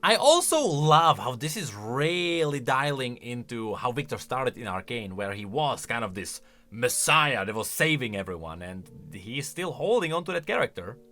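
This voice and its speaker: A man giving casual, expressive commentary as a video host, thinking aloud as he goes. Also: mid-range voice, normal speed, faint music behind.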